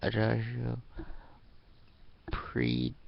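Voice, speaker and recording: A man giving an amateur dramatic reading of a story, narrating in a halting, deliberate way. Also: mid-range voice, completely flat delivery, gravelly, breathy edge, highs cut off. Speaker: amateur reader.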